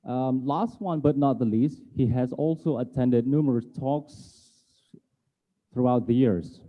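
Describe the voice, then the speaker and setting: A man moderating an online conference, reading out a speaker introduction in a careful, slightly halting way. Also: mid-range voice. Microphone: video call. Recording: tinny phone-like video call audio.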